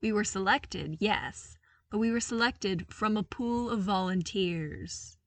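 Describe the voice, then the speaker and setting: A woman voicing a calm, respectful male military commander in a story, measured and gentle. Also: medium pitch, no room sound, recorded from a distance.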